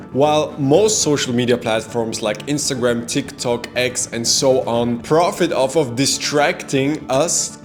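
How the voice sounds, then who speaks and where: A young man from Austria, a non-native English speaker, sharing his story in an earnest, reflective vlog with frequent restarts. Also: medium pitch. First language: not English. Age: young adult. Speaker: video creator and freelancer. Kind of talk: reflective talking-head vlog.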